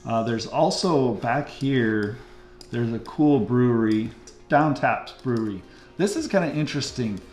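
A middle-aged man with an American accent talks casually, like a local host showing someone around on screen. His pitch sits in an ordinary male middle range. The room adds noticeable echo, and faint music plays behind him. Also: darkish tone. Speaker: real estate agent host. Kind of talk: casual map-tour commentary. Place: indoor room, screen recording with webcam.

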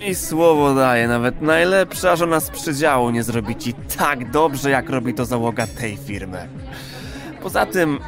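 A man's voice: medium pitch, lightly acted. An actor playing a crude, cocky, streetwise man in an audio drama, talking in chummy, vulgar slang. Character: brash, crude, streetwise male regular of a pleasure institute.

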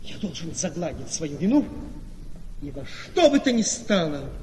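An actor playing a vain, scheming gentleman husband in a radio play, speaking persuasively and emphatically with weighty pauses. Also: sits high, big pitch swings, gravelly, heavily acted. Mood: grave, pleading. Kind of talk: theatrical radio play acting. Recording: noisy, long echoing hall reverb.

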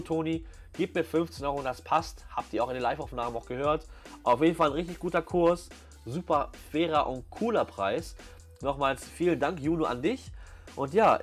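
A man with a somewhat high voice narrates a casual vlog. His talk is slangy and full of enthusiasm. Music plays behind him. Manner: effusive, colloquial, slangy. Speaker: YouTuber, flea market vlogger. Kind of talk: casual vlog voice-over commentary. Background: background music.

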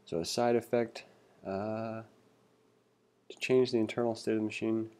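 A man giving a read-along coding tutorial, reading aloud and thinking out loud with informal asides. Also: on the low side, flat delivery, moderate pace. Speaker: instructor.

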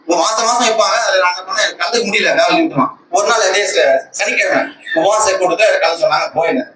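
A distant, echoing recording carries a man with a rather high voice and a Tamil Nadu accent, with clear noise behind him. He gives a personal religious testimony in an earnest, emphatic way, often repeating his phrases. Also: fast talker. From Tamil Nadu, India. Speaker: church member giving a testimony, lay speaker. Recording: echoey, distant conference call with loud noise.

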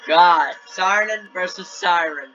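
A high-pitched man reacting to a cartoon, breaking into excited gasps and exclamations. The sound is dull and lo-fi, with loud music playing right under his voice. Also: takes it slowly. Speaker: host of reaction videos. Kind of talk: casual video reaction commentary.

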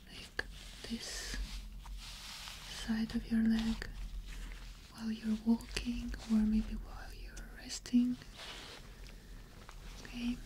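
A woman doing an ASMR medical exam roleplay as the examiner, giving soft, unhurried instructions in short phrases close to the microphone. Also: fairly high pitch, a little hiss or hum, poor audio.

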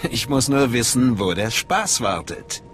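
An actor playing a cool, cocky and menacing male dark-side acolyte in a video game, clipped and self-assured. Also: medium pitch, expressive, measured pace, faint music behind. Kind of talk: video game voice acting in German.

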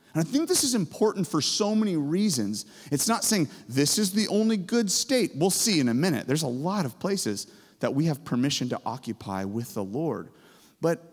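A man in his forties, a professor giving an energetic chapel sermon on stage with a handheld mic, excitable and booming, full of storytelling. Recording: hall reverb.

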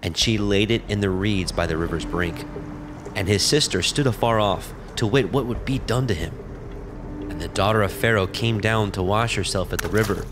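Deep guy narrating the bible, slow solemn measured read, music behind him. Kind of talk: scripted scripture narration.

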